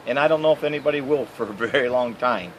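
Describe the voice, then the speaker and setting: A middle-aged man with a Michigan accent, answering interview questions outdoors in a halting way with many fillers. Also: medium pitch, a little hiss or hum. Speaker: interviewee, canoe racer. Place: outdoors by trees and a house.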